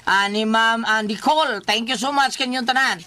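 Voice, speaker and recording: Bright, effusive Visayan woman radio host. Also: a little high, normal speed. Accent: Visayan Filipino. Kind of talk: casual on-air greetings and banter.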